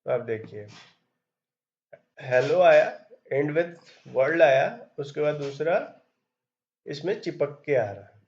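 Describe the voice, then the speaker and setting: A man's voice: fairly high pitch, lively intonation, slow pace, long pauses, darkish tone, muffled recording. A man teaching programming step by step, reading code aloud as he types. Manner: explains step by step while typing, reads code aloud. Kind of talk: screen-recorded coding tutorial.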